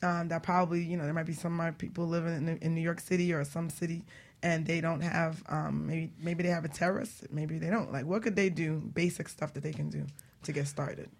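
A woman's voice: deep voice, flat delivery, brisk. A woman hosting a talk show in casual conversation, asking questions in a curious, candid, chatty way. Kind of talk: casual chat.

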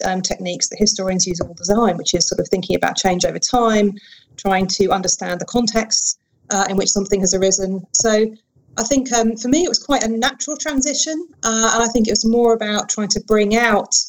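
A woman's voice: mid-range voice, low-quality recording. A woman, a guest historian giving thoughtful interview answers with many ums and restarts.

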